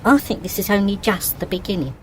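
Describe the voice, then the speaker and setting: A woman's voice: mid-range voice, lively intonation, fast, soft background music, lightly acted, rough recording. An actress playing an earnest, ominous woman, bitter and haunted, in an audio drama. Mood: bitter, foreboding. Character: Evelyn, bitter, brooding woman haunted by fatal crash.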